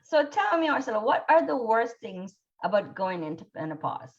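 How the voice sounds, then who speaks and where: A woman with a fairly high voice hosts a live online talk show. She is effusive and chatty as she presents and interviews. The sound is somewhat dull and lo-fi, like a web stream. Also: very animated, moderate pace.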